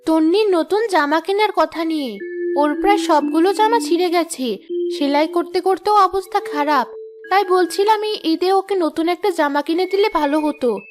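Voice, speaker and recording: A woman's voice: very high-pitched, moderate pace. An actress playing a worried, blunt mother from a poor village family in a cartoon. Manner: blunt, fretful. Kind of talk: cartoon voice acting. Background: background music.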